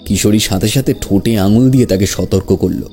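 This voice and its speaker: A man's voice: on the low side, brisk, dark tone, soft background music. A man narrating a scripted horror story in the first person.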